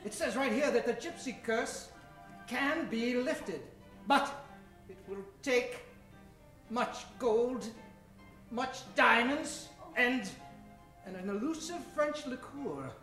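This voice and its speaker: Adventurer professor female player: bookish, stern, raised pitch, old-time radio comedy style, music underneath. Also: animated, takes it slowly, long pauses, a bit bright. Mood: earnest, pompous. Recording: echoey stage, music behind.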